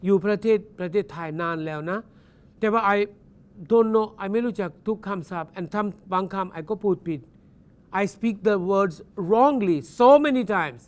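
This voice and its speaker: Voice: mid-range voice, animated, rapid-fire. A university English teacher giving a recorded lecture. They explain patiently, spell words out letter by letter, switch between English and Thai mid-sentence, and keep checking in with "Understand?". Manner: gentle and encouraging toward learners. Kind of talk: recorded distance-learning lecture.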